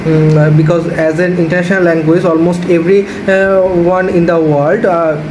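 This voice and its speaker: A man's voice: a little high, little pitch movement, normal speed. A young Bangladeshi man whose first language is Bangla, answering mock speaking test questions with many hesitations, recorded close on a phone in a small room. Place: small indoor room with curtains. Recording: echoey room with background noise.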